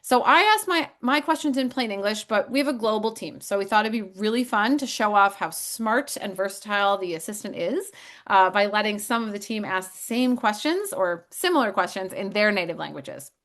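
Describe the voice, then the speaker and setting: An upbeat woman hosts a casual software demo with a friendly, chatty delivery. She leans on fillers as she talks, and her voice sits in an ordinary middle pitch. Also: lively intonation, fairly quick. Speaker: sales host of company demo. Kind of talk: casual chat on video call.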